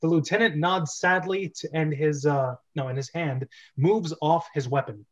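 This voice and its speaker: A man's voice: on the high side, fairly even intonation, moderate pace. A man narrating stage directions in a casual amateur script reading, breaking off into chatty asides. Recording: dull, lo-fi voice recording.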